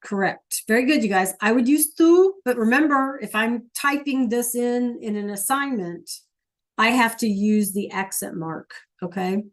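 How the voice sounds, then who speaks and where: A woman's voice: on the high side, a little slow. A woman teaching an online Spanish class, patient and checking in with her students often.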